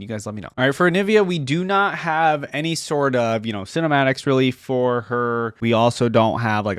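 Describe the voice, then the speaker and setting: A man hosting a gaming reaction video, reading aloud and commenting casually, thinking out loud in a conversational way. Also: medium pitch, no room sound, studio quality.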